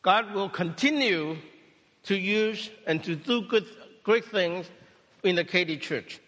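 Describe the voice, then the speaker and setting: A man preaching a sermon testimony in short phrases, pausing for an interpreter. His first language is Cantonese. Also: high-pitched, animated, a bit bright, big-room sound. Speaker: pastor.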